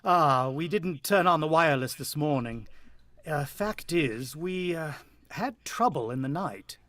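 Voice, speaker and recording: Guy acting earnest rattled dad in a radio play, halting, swingy pitch, very dry sound. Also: expressive, fairly bright tone, no echo. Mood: hesitant, earnest. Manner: hesitant, halting when explaining himself. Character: shaken, earnest family man and farm hand, protective father. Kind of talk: radio drama acting and first-person narration.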